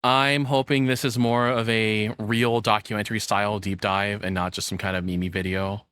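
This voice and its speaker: A man's voice: lowish voice, flat delivery, moderate pace, a bit bright, smooth, no room sound. A man giving casual, playful, tongue-in-cheek live commentary as a streamer reacting to a video.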